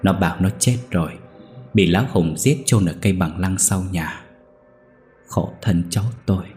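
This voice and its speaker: A man's voice: low voice, normal speed, mellow, soft background music, lightly acted. A man voicing a sorrowful, stern old uncle with a trembling, mournful voice. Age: older.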